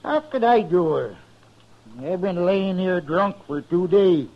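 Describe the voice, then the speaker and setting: An actor playing a sullen, brutish drunk in a 1950s western radio drama, with halting, rambling speech. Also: male voice, sits high, lively intonation, heavily acted. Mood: sullen, defensive. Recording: muffled old radio recording.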